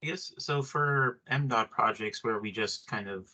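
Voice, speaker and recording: A man with a husky voice, a project manager speaking tentatively in a work meeting. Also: mid-range voice, unhurried, pushed and bright, poor audio. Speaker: project manager attending training.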